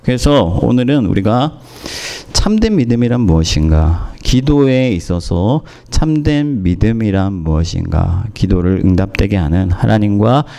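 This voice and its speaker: Man, pastor, hoarse from a cold, sermon, measured pauses, dark tone. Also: fairly low pitch, lively intonation. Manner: measured preaching with many pauses and fillers. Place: church in Moscow.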